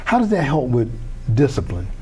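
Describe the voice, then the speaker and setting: Chatty enthusiastic community TV host. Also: male voice, mid-range voice, wildly swooping pitch, normal speed, warm tone, slightly rough, rough recording. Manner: enthusiastic, chatty, many restarts. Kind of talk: community TV interview.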